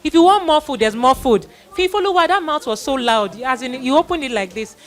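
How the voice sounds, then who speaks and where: A woman's voice: high voice, animated, fairly quick. A middle-aged woman hosting a live children's Bible event, introducing and addressing people in an exhorting manner with repeated phrases.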